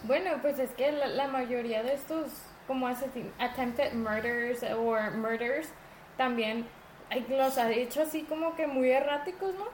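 Woman co-host, high-pitched, casual code-switching, echoey room with faint hiss. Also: moderate pace, darkish tone. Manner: mixes Spanish and English, filler "like". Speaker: podcast co-host. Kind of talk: casual true-crime chat. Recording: roomy echo with faint noise.

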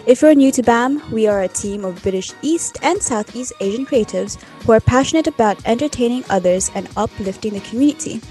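A woman's voice: fairly high pitch, animated, a little fast, hardly any pauses, warm tone, soft background music. A young woman hosting a podcast, delivering its welcome and introductions in an upbeat, announcer-like way. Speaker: podcast host.

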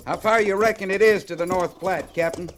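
An actor playing a plain-spoken, respectful cavalry sergeant in a radio western, with folksy, drawling frontier talk. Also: male voice, sits high, fairly even intonation, fairly quick, fairly bright tone. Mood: businesslike. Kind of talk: 1950s radio western acting. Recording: noisy vintage radio drama recording.

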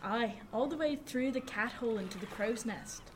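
A woman's voice: fairly high pitch, moderate pace, natural voice. A woman playing a plainspoken, encouraging seasoned sailor in a period radio drama, folksy and reassuring. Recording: background noise, ship ambience.

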